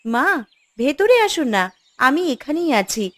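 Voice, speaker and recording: A woman's voice: high-pitched, big pitch swings, a little slow, a bit bright, full character voice. A woman voicing a dutiful young daughter-in-law in a cartoon, respectful and soothing. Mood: gentle, respectful. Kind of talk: scripted cartoon dialogue.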